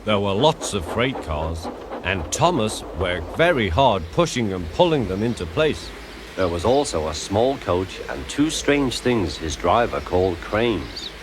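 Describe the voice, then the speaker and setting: A man's voice: lowish voice, unhurried, background noise. A man reading a scripted children's story as narrator, expressively acting out the characters. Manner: expressive, acts out character voices.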